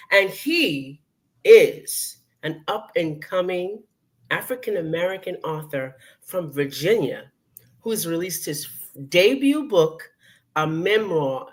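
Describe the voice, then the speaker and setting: An older woman, hosting an interview show, who talks with enthusiasm, repeats herself and asks for plain explanations. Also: lowish voice. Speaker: host. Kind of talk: casual interview.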